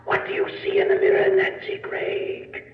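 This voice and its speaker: A man playing an eerie disembodied hypnotic voice with a whispery hiss, giving drawn-out, repetitive commands in old-time radio horror style. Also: very high-pitched, huge pitch swings, faint music behind, full character voice. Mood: insistent, menacing. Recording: thin phone-like echoing old radio, noisy.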